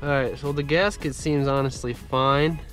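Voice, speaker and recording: A young man with a congested voice, chatting excitedly to viewers as he works on his car in a driveway, recorded on a head-mounted camera. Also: mid-range voice, normal speed, soft background music. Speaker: car vlogger. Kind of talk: casual point-of-view vlog. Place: outdoors in a driveway, working in a car. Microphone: head-mounted action camera.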